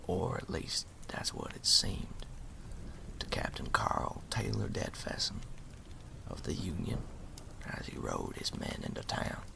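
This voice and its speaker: Man voicing a very deep, gravelly, whispering creature storyteller. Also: wildly swooping pitch, exaggerated voice. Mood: ominous storytelling. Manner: slow, deliberate, folksy, ominous. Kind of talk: ASMR roleplay, slow hushed storytelling.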